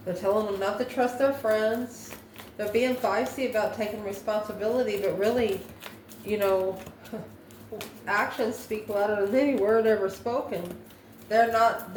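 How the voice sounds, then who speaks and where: A woman's voice: mid-range voice, unhurried, a little mellow. Echoey, distant woman reading tarot. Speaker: tarot reader, host. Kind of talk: intuitive tarot card reading, talking to viewers. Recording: roomy echo, distant mic, background noise.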